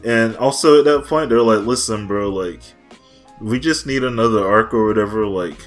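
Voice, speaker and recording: A man's voice: fairly low pitch, normal speed, faint music behind. A young man co-hosting a podcast in casual chat, laid-back and slangy, laughing often. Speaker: podcast co-host.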